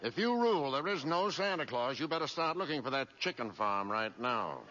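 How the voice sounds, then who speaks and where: Persuasive political fixer, forceful, emphatic, dull vintage radio drama sound. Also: male voice, mid-range voice, very animated, fairly quick, a little hiss or hum. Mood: cynical, urging. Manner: emphatic, wisecracking. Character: wisecracking, worldly male political adviser, persuasive and cynical. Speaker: actor. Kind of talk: 1940s radio acting. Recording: dull, lo-fi old radio recording.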